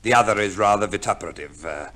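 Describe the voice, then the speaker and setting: An actor playing a fussy, shrewd divorce lawyer in a radio play, with a pedantic, precise manner and hesitant stammers. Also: male voice, on the low side, quick pace, pushed and bright. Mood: businesslike, precise. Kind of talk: 1950s radio play acting.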